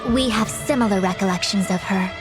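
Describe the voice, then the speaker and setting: A woman's voice: medium pitch, a little fast, music in the background, lightly acted. An actress playing a composed, self-assured female sage in an anime-style game, speaking formally and precisely with authority. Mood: dry, composed.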